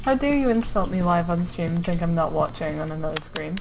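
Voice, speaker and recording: A woman's voice: fairly low pitch, animated, fairly quick, vocal fry, background noise. A woman chatting casually as a gaming partner, with a playfully indignant manner over online voice chat. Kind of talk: casual bantering game chat.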